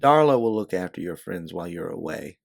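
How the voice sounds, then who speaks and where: A man voicing a tall, wide, emotionless doorman with a formal, flat manner in a narrated story. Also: deep voice, a little fast, vocal fry, no echo, clean studio sound, exaggerated voice. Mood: stern, polite.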